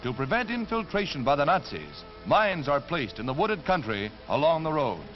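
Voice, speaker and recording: A man's voice: a little high, sing-song, normal speed, loud music behind the voice. An American man reading scripted 1940s newsreel narration in a dramatic, formal announcer style. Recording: dull 1945 newsreel film soundtrack.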